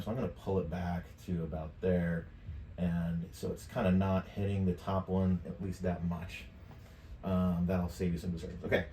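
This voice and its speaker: Echoey noisy room, middle-aged man, low voice, informal tutorial, thinking aloud. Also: a little mellow. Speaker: tutorial presenter. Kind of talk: casual step-by-step software tutorial. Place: indoors, home studio room at a computer desk. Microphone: USB microphone at some distance from the mouth. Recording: echoey room with clear background noise.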